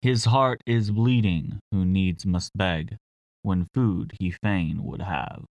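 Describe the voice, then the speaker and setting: A man with a lowish voice reads old verse at a very slow, solemn pace. The sound is dry and dull, as if from a lo-fi recording. He lingers on each line. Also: fairly even intonation, darkish tone, no echo. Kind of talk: slow poetry recitation.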